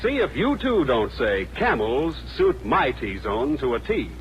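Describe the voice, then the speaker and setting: Lively male vintage radio announcer. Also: medium pitch, measured pace, lo-fi sound. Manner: polished, persuasive. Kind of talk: 1940s radio advertising, scripted pitch. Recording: old radio recording, faint noise.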